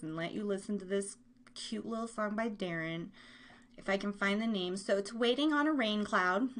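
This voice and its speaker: A woman's voice: mid-range voice, a bit bright. A woman hosting a live music show, chatting casually and informally with her listeners. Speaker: radio show host.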